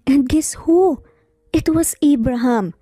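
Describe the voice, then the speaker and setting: A woman voicing a guarded young mother, high mellow voice, firm, dramatic romance story, dry sound with music. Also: takes it slowly, no room sound. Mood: troubled. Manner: firm, emphatic questioning. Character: guarded, defiant young mother, startled by her ex-husband. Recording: music bed under the voice.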